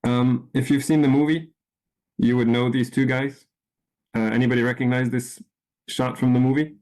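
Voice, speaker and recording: A man from France giving an informal talk to a small group, unhurried, with frequent ums and restarts. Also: medium pitch, flat delivery, darkish tone, poor audio. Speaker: presenter. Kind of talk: informal presentation.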